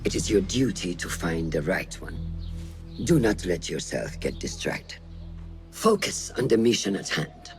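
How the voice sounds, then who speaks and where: An actor playing a stern, watchful mentor of assassins in a video game, commanding and sententious, giving measured orders. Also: male voice, low voice, very animated, music in the background, full character voice. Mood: stern, instructive. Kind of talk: dramatic game cutscene acting.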